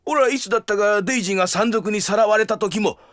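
A man's voice: sits high, quick pace, fairly bright tone, dry recording, studio quality. A man playing a greedy, scheming old grandfather and town mayor in a Japanese audio drama, with a blustering, theatrical delivery. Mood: indignant. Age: older. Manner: blustering, theatrical, swinging between coaxing and outbursts.

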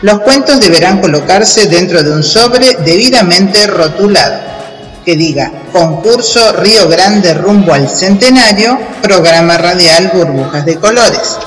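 Argentine man, high voice, children's radio presenter, deliberate scripted reading, music behind. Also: a bit bright. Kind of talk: scripted announcement addressed to children. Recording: long reverb with music underneath.

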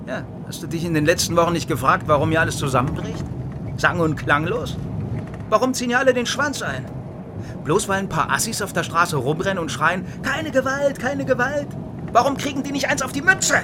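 An actor playing an embittered, conspiratorial former East security officer in a radio crime drama, blunt and pressing. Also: male voice, high voice, animated, fairly quick. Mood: probing, then indignant. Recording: loud car interior noise.

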